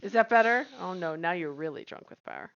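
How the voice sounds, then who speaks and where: A woman's voice: on the low side, animated, fast, a bit bright, smooth, highs cut off. An American woman co-hosting a livestream with casual, playfully dramatic banter. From US West Coast. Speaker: livestream co-host.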